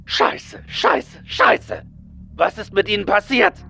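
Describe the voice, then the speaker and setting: A man's voice: high-pitched, lively intonation, slow, vocal fry, sharp tone, a little hiss or hum, pitched above the performer's own voice, exaggerated voice. A man voicing an authoritative male astronaut commander in a horror audiobook, giving urgent, clipped orders. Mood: frantic. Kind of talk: dramatised horror audiobook dialogue.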